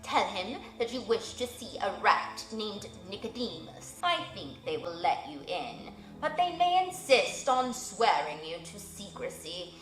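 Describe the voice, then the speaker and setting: A woman's voice: mid-range voice, very animated, echoey room, soft background music, low-quality recording. Woman doing a grave old male owl. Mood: solemn. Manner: slow, measured, formal.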